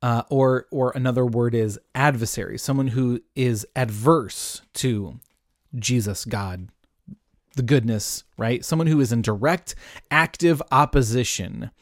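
A man's voice: mid-range voice, unhurried, no echo, studio quality. A young adult man giving a casual Bible lesson, chatty and full of asides. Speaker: Bible teacher.

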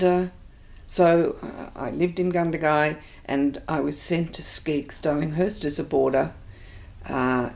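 An older woman with an Australian accent, thoughtfully recalling her life in an interview, pausing often. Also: low-pitched, vocal fry, a little mellow. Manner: reflective, hesitant with many fillers. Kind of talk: oral history interview.

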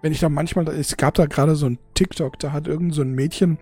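A forty-year-old speaking off the cuff to an audience in a husky, gravelly voice, halting and repeating words, emotional and blunt with casual swearing. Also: mid-range voice, fairly even intonation, darkish tone, dry recording, soft background music. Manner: halting, repetitive, searching for words. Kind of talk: unscripted casual monologue to an audience.

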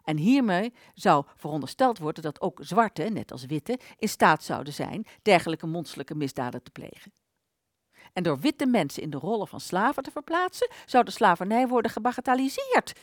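A woman in a Dutch radio play voicing an aborted idea, a bitter vanished thought, telling its tale dramatically with slow emphases. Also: on the low side, big pitch swings, no room sound, clean studio sound. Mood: grave.

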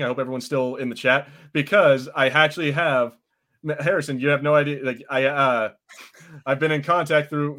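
A man's voice: mid-range voice, fairly bright tone. Easygoing male podcast host. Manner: easygoing, rambling, laughs often. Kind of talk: casual chat.

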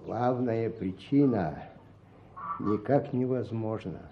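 A man's voice: on the low side, takes it slowly, slight background noise. An actor playing a stolid, stubborn Russian peasant spokesman in a radio play, speaking bluntly in a folksy way. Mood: firm, resigned. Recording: dull old radio play recording.

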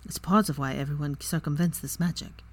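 A woman voicing a tender, brooding young wizard in a low, quiet, hushed voice. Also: steady tone, fairly quick. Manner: quiet, hushed, near whisper.

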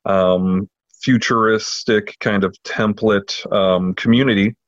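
A man co-hosting a podcast, chatting casually in a halting way full of fillers, on a slightly dull recording. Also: on the low side, fairly even intonation, no echo. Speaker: podcast co-host. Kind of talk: casual chat, informal book recommendation.

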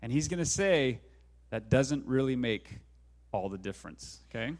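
A man's voice: mid-range voice, animated, moderate pace, a little mellow. A man pastor preaching a sermon in a conversational way, speaking straight to the congregation. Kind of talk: sermon with live interpreter.

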